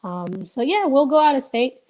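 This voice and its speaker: A woman's voice: high voice, sing-song, normal speed, darkish tone, rough recording. A young woman from Ecuador, a business co-owner, answering interview questions casually and hesitantly, with many fillers and restarts, over a remote call.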